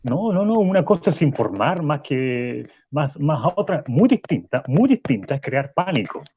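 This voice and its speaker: A man's voice: fairly high pitch, sing-song, moderate pace, warm tone, husky, lo-fi sound. A man playing an indignant, didactic philosophy professor in a radio play, insistent, repeating his phrases and interrupting. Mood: agitated. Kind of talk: Spanish-language radio play as live debate.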